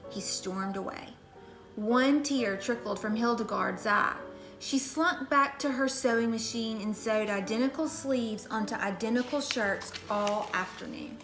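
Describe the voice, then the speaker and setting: High-voiced woman reading a children's story. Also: fairly bright tone, reverberant. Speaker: story reader. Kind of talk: read-aloud children's storybook narration.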